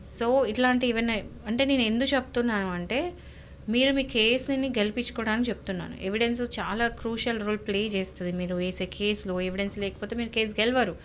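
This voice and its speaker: Casting: female lawyer, Telangana Telugu accent, higher-pitched, emphatic explainer, faint noise behind. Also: quick pace. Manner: emphatic, repeats points, rhetorical questions. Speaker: lawyer, guest legal expert. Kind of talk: explaining legal advice conversationally.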